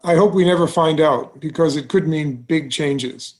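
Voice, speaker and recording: Man acting a genial bank president, higher-set voice. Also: normal speed, natural voice. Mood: thoughtful, cautious. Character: genial, seasoned small-town bank president. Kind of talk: musical theatre table read.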